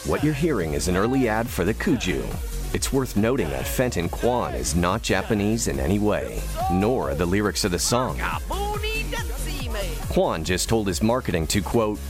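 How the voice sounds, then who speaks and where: An actor playing a measured documentary narrator, delivering scripted narration. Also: male voice, lowish voice, sing-song, over music.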